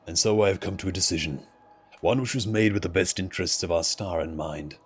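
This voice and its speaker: A man voicing a stern, commanding immortal ancient from a fantasy game in formal, solemn, measured declarations. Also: low voice, breathy edge. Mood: grave, resolute. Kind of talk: dramatic reading of fantasy game dialogue. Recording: music under the voice.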